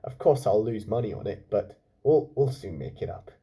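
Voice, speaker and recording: A man's voice: lowish voice, animated, moderate pace, dark tone, breathy edge, voice pushed down. A man voicing an effusive, jovial uncle who runs a restaurant in a children's story, with a gushing showman's manner. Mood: effusive, welcoming.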